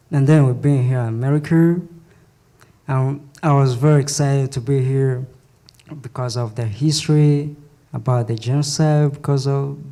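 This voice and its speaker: A nineteen-year-old man raised in Rwanda, a non-native English speaker, introducing himself haltingly and apologetically as a student speaker. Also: mid-range voice, dark tone. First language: not English. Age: young adult. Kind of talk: hesitant personal introduction.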